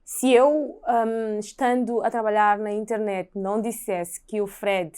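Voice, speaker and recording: A young woman with an Angolan Portuguese accent, a podcast guest explaining at length in casual conversation, in earnest, sometimes in quick bursts. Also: medium pitch, steady tone, a little mellow, clear, resonant tone. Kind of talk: casual chat.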